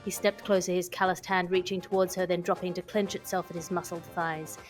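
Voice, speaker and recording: A woman's voice: lowish voice, little pitch movement, fairly quick, soft background music, lightly acted, low-quality recording. A woman performing an earnest, florid narrator of a mock period-romance audiobook, dramatic in delivery.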